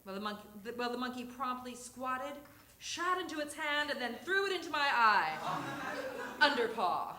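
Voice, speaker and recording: Female reader, fairly high voice, theatrical comic storytelling, noisy live stage recording. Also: sing-song, normal speed, bright, forceful tone, reverberant. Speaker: reader of a humorous memoir story. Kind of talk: live dramatic reading of a comic personal story. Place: theatre stage before an audience.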